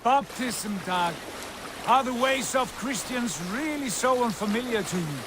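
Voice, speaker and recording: Confident Viking commander, high-pitched, declamatory, game cutscene, noisy river and boat ambience. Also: male voice, animated. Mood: explaining, assured. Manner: measured, authoritative, declamatory. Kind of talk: video game cutscene acting. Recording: loud water and boat ambience.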